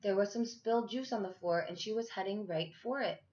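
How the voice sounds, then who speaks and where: A woman's voice: mid-range voice, a little fast, a little mellow, highs cut off. A woman reading a children's storybook aloud expressively, like a teacher to her class. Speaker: school teacher reading to third graders.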